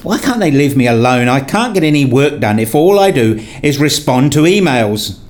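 Man playing a weary husband with swooping pitch. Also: big pitch swings, moderate pace, a little mellow, lightly acted. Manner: reasoning, explanatory, placating. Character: weary, exasperated husband and father, narrating his domestic life. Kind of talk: radio play dialogue and first-person narration.

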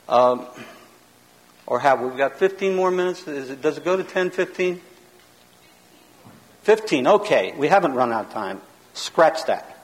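A man teaching in a loud, emphatic sermon style, his voice building into a preaching cadence. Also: a little high, a little hiss or hum. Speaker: pastor teaching a seminar. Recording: long hall reverb.

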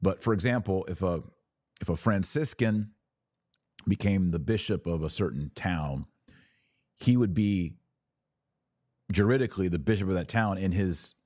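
A man's voice: low voice, moderate pace, long pauses, darkish tone, no room sound, studio quality. An American man speaking as a podcast host in conversational religious commentary, emphatic, often in short repeated phrases.

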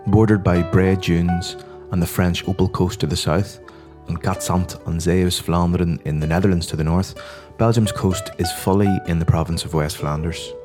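Irish male host, very deep voice, calm scripted narration, guitar playing underneath. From Ireland. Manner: measured, informative delivery. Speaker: podcast host. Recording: music playing behind the voice. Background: guitar music.

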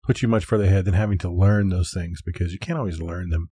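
A man co-hosting a podcast in casual conversation, measured and rephrasing as he thinks. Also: sits low, mellow, breathy edge, dry recording. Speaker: podcast co-host.

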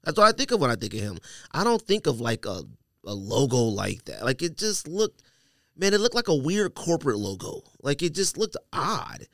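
Animated, argumentative man bantering on a podcast. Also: on the high side, moderate pace, no echo, studio quality. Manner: emphatic, argumentative, repeats himself for emphasis. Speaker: podcast co-host. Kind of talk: casual sneaker podcast banter and debate. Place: podcast studio.

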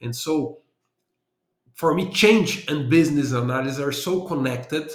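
A man's voice: a little high, a little slow. Man, Brazilian accent, podcast guest, thoughtful, repeats words, roomy echo. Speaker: guest consultant and trainer. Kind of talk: podcast interview answers.

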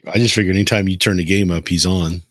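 Deep, husky man in casual podcast chat. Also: fairly even intonation, fast, no echo, clean studio sound. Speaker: podcast co-host. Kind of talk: casual group banter.

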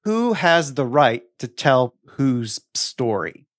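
A man's voice: medium pitch, sing-song, slow, no echo, clean studio sound. A man co-hosting a podcast, discussing a listener question in a conversational way. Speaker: podcast co-host.

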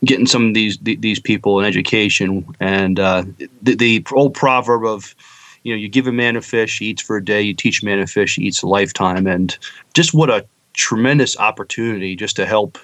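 A man co-hosting a podcast in casual chat, halting and repeating his words as he goes. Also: fairly low pitch, fairly bright tone, dry recording. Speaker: podcast co-host.

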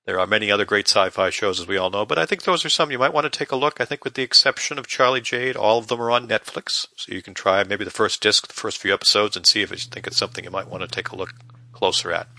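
Very bright, low man, slightly creaky. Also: fast talker, dry recording. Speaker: podcast segment contributor. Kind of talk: informal TV show review monologue.

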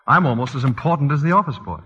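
An actor playing an evasive, sardonic executive husband with a husky voice and dry, clipped 1940s radio delivery. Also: male voice, mid-range voice, lively intonation, racing, pushed and bright. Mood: dry, guarded. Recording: muffled old radio broadcast, reverberant.

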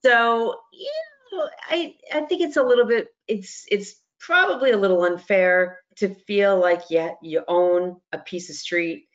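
A woman's voice: medium pitch, unhurried, muffled recording. A woman chatting as a panelist in casual conversation, hesitantly repeating words as she thinks. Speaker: podcast panelist.

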